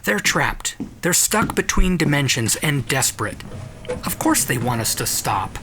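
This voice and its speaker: A man's voice: a little high, big pitch swings, a little slow, noisy background, voice pushed up. Man acting an agitated obsessive scientist, swooping voice. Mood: urgent persuasion. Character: obsessive, defiant male scientist, feverish and stubborn. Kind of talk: audio drama acting.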